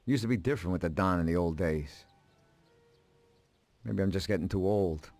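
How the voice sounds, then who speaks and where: An actor playing a tired, resigned older American mob accountant in a dramatic video game scene, halting and earnest. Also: male voice, low-pitched, a little mellow, dry recording. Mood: weary, pleading. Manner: weary, halting, pleading. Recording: music behind the voice. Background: background music.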